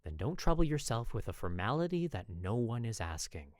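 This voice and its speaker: A performer voicing a gentle, persistent older gentleman with a soft, melodic voice in an audio story. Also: mid-range voice, sing-song, a little fast, dry recording, clean studio sound, natural voice. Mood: probing, gentle.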